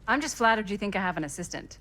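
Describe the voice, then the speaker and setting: An actress playing a modest, guarded indie filmmaker in a video game cinematic, with an understated, hesitant, deadpan delivery. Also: female voice, medium pitch, rapid-fire, lightly acted. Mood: dry.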